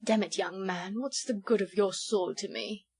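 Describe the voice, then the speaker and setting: A woman's voice: medium pitch, steady tone, normal speed, a little mellow, husky, natural voice. Foppish male English aristocrat, played by a woman reader: languid, inane, placidly good-humoured, storybook style. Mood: lazy, unbothered. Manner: drawling, inane, affectedly bland. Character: foppish, lazily good-humoured English baronet, a tall man. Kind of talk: storybook character voice.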